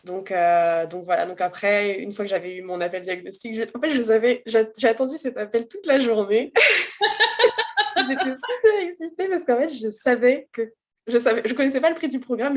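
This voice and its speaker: A woman giving a personal testimonial as a guest, speaking candidly and informally in a halting, emphatic way. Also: on the high side. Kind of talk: casual personal storytelling.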